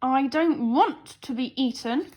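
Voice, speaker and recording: A woman voicing a stubborn, defiant talking jelly from a storybook, growling and shouting its refusals. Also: high voice, slow pace. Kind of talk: storybook character voice.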